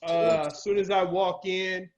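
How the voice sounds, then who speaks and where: Man, high-pitched voice, podcast panelist, blunt, slangy, heavy swearing, slightly muffled lo-fi audio. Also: flat delivery, measured pace. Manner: blunt, heavily profane, slangy, exclamatory. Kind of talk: casual album review banter.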